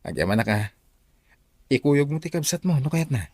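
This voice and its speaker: A man's voice: mid-range voice, sing-song, moderate pace, darkish tone, dry recording. A man playing a young soldier, a casual boyfriend, in a radio drama. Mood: casual, inviting.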